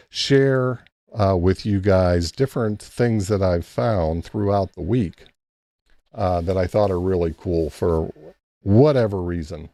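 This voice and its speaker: A man's voice: low-pitched, mellow, no room sound, studio quality. A man hosting a casual late-night ham radio live stream, rambling easily and thanking chat members by name.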